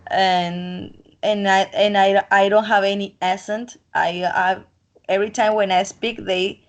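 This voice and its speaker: A woman's voice: mid-range voice, little pitch movement, measured pace, dull audio. Woman, Colombian accent, Spanish native speaker, self-assured, rambling chat, mid-range voice. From Colombian coast. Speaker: interviewee. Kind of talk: casual chat.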